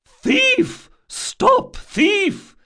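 A fierce old grandmother from a storybook, acted out by a male narrator. She is protective and sharp-tongued, scolding in a high voice that leaps up and down. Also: wildly swooping pitch, slow, exaggerated voice. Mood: shouting, commanding. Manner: scolding, loud outbursts.

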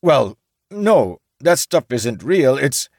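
A man's voice: medium pitch, very animated, takes it slowly, darkish tone, no room sound, clean studio sound, pitched above the performer's own voice, exaggerated voice. A man voicing a flustered, evasive male samurai. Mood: defensive. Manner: defensive, sputtering.

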